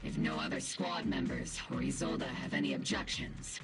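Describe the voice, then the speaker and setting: A woman's voice: normal speed, natural voice. A woman playing a stern, skeptical military squad captain in a video game, curt, commanding and guarded. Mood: wry, businesslike. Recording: game music behind the voice.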